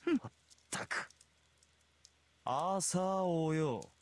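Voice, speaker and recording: A man's voice: fairly high pitch, slow, no room sound. An actor voicing an arrogant, merciless heroic king in a visual novel, with a haughty, sneering and imperious manner. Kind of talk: Japanese visual novel voice acting.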